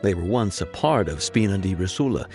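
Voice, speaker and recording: An actor voicing a brisk, businesslike male officer in a game. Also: sits low, expressive, darkish tone, soft background music.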